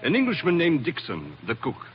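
Guarded French shipping manager, animated pitch, polite, old radio drama, muffled hissy recording. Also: male voice, moderate pace. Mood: guarded, courteous. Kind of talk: 1940s radio acting.

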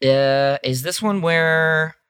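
A man's voice: a little high, slow pace, fairly bright tone, clear, resonant tone, no room sound, clean studio sound. A man co-hosting a casual podcast chat, speaking candidly with frequent "like" fillers and laughter. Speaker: podcast co-host.

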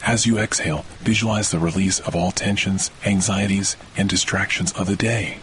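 A man guiding a scripted sleep meditation in a soothing, measured manner. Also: low-pitched, fairly even intonation, a bit bright, a little hiss or hum. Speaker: podcast host, meditation guide. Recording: rain and thunder behind voice. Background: rain and distant thunder.

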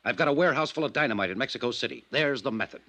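A man's voice: mid-range voice, expressive, very fast, sharp tone. An actor playing a bitter, defensive businessman under suspicion, in earnest 1950s radio drama style.

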